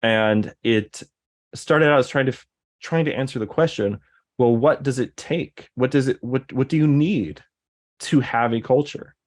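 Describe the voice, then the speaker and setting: A man's voice: medium pitch, unhurried. A man in casual conversation, rambling, with many fillers and restarts. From American Deep South. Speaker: guest.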